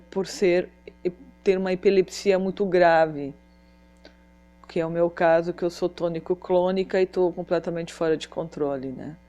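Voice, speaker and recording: A woman talking in a personal vlog, halting with pauses and restarts, Portuguese not her first language. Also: on the low side, warm tone. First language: another language than Portuguese. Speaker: vlogger.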